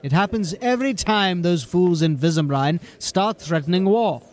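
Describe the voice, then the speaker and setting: A man's voice: high voice, animated, moderate pace, a little mellow. A man playing a polished, formal watch captain. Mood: composed, formal. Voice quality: crisp and formal.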